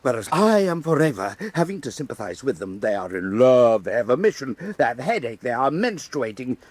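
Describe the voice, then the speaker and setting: An actor playing a fretful, self-pitying, vain ruler in a radio drama, with pompous, theatrical speechifying and a husky voice. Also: male voice, medium pitch, sing-song, brisk, a little mellow, no room sound. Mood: aggrieved, self-pitying.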